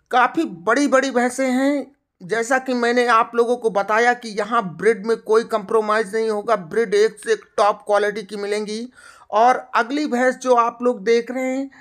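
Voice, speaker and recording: Upbeat woman touring a buffalo shed. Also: fairly bright tone. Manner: upbeat sales pitch, addresses farmers directly. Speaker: livestock video host. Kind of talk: off-camera farm tour narration.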